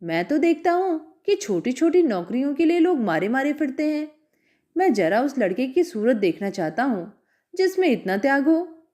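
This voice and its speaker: A woman's voice: sits high, very animated, normal speed. A woman doing a proud, mocking old father. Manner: sharp, mocking tone.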